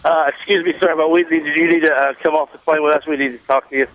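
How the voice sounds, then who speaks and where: A man telling a rambling casual anecdote on a radio talk show, halting and repeating himself, with plain folksy grammar. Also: fairly high pitch, fairly even intonation, dull audio.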